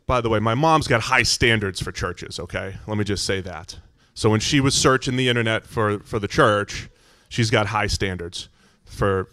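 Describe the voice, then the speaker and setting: Visiting church speaker: American man, middle-aged, booming voice on the low side, dry sound, informal storyteller. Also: no echo. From Massachusetts, United States. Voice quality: loud, booming voice. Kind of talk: personal testimony to a congregation.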